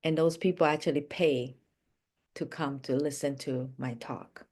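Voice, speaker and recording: Low-pitched woman coach with unhurried delivery. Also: steady tone, darkish tone. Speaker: life and business coach. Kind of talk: motivational coaching session.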